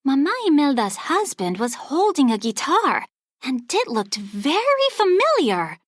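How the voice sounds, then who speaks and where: A woman narrating a children's storybook aloud in an expressive, scripted read-along style. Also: high voice, a little slow, no echo, studio quality.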